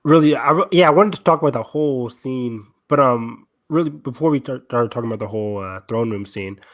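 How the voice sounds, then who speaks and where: A man's voice: mid-range voice, a little fast, a bit bright. A man co-hosting a fan podcast, talking in a casual, conversational way. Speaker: podcast co-host.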